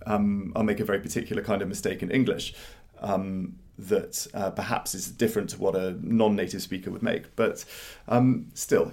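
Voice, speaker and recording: Low-voiced man hosting with halting fillers. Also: a little mellow. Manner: hesitant, many fillers and restarts. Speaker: podcast co-host. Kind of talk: casual roundtable chat.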